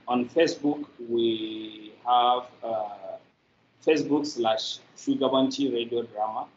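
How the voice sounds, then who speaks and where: A man's voice: medium pitch, a little hiss or hum. A middle-aged man with a Nigerian accent, giving conversational answers as an interview guest over a video call. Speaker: interview guest, media company representative. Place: indoor room, on a video call. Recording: echoey, muffled video call audio.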